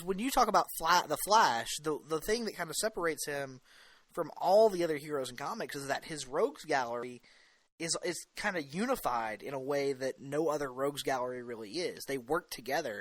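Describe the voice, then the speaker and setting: Bright-voiced male podcast co-host, dry sound. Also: on the high side, no room sound. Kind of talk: casual, opinionated fan discussion.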